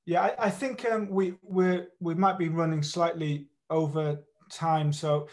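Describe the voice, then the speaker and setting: A male university professor presenting at an online conference, casual and halting, with many fillers and restarts. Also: a little high, steady tone, darkish tone. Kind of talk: academic presentation over video call. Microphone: video call microphone.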